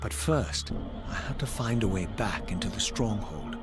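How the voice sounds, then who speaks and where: An actor playing a proud, brooding undead wraith in a video game, defiant and coolly sardonic, with eloquent, formal diction. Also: male voice, on the low side, expressive, moderate pace, over loud music, close to an everyday voice. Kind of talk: theatrical video game voice acting.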